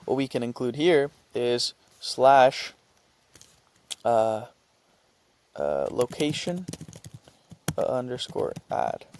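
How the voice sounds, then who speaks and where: A man presenting an informal screen-share tutorial, talking haltingly as he thinks aloud and reads out what he types. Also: medium pitch, very animated, darkish tone. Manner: halting, thinking aloud while typing and reading out script.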